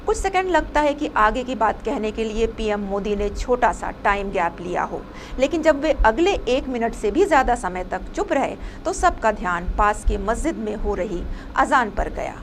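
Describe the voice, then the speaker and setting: A woman's voice: fairly high pitch, very animated, moderate pace. A woman reading a scripted news report as a presenter, with some noise behind her.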